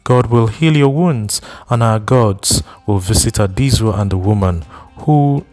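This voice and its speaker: A man voicing an older village woman who laments slowly and at length to a grieving mother in a storybook reading. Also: on the low side, darkish tone, faint music behind, close to an everyday voice. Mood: lamenting. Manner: slow, mournful, long-winded.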